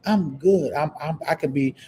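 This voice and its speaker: A man's voice: on the high side, normal speed, darkish tone, a little hiss or hum. Man with a lisp, hosting a livestream. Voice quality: lisp and stutter. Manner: repetitive, exclamatory, reading viewer comments aloud. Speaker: host of a live online talk show. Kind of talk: casual motivational livestream talk.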